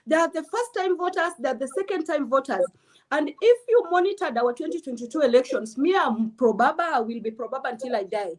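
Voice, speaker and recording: A woman with a high, bright voice speaks as an interview guest. She is blunt and emphatic, driving her political points home without softening them. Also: lively intonation, fairly quick. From Kenya.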